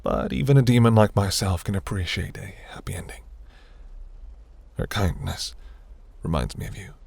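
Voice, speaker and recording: Guy doing a smug possessive demon prince, really low husky voice, silky and commanding, fantasy roleplay audio. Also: moderate pace, darkish tone. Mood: musing, tender. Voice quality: low, gravelly, breathy.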